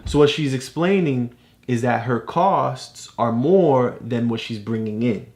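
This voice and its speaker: Really low voiced lady walking a kid through a lesson, encouraging, keeps checking they get it. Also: unhurried, a little mellow. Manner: encouraging, checks understanding with questions. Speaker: parent tutor guiding a child's reading. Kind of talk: casual teaching explanation.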